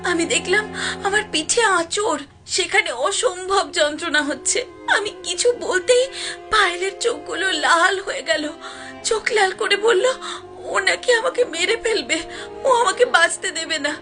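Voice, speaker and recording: An emotional young village woman played by an actress speaks in a very high, dramatic cartoon voice. She is insistent, and music plays loudly behind her. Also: normal speed. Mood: distressed. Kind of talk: cartoon voice acting.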